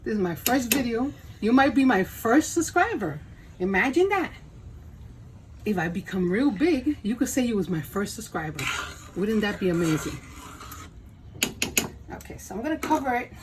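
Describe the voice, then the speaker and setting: A woman's voice: medium pitch, very animated, moderate pace, long pauses, noisy background. Chatty Puerto Rican woman in her fifties. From Puerto Rico. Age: 50s. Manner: chatty, self-deprecating, laughs often. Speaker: cooking channel host. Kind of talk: casual cooking vlog. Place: home kitchen.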